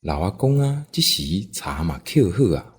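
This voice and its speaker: A man's voice: fairly low pitch, big pitch swings, normal speed, a little hiss or hum. A man with a Taiwanese accent narrating a scripted folk story as the storyteller.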